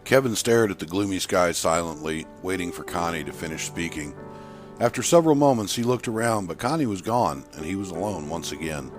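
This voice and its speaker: Male narrator, low register, scripted short fiction read, soft music bed. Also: lively intonation, a little fast. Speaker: author and narrator. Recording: music behind the voice.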